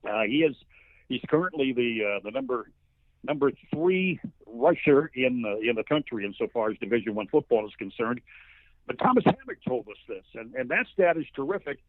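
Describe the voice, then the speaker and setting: A male play-by-play broadcaster as an interview guest, giving conversational football analysis in a folksy, halting, emphatic storytelling way. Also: medium pitch, animated, no echo. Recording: thin narrow phone-like line.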